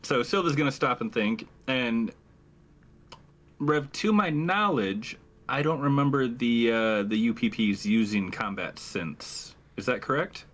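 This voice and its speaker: Casual man at a gaming table, medium pitch. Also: expressive, unhurried, a bit bright. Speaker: tabletop game player. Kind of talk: casual, joking game chat.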